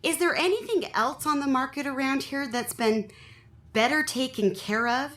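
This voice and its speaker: An actress playing a picky, unimpressed house hunter in a radio play. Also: female voice, high voice, normal speed, a bit bright. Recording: roomy, echoing sound.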